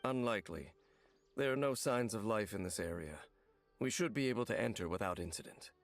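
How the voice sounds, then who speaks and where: A man voicing a grave, stoic, ageless wanderer in a video game, speaking in a measured, serious, matter-of-fact way. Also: lowish voice, lively intonation, faint music behind. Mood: calm, matter-of-fact. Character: grave, stoic centuries-old male wandering warrior, terse and watchful. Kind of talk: video game character dialogue.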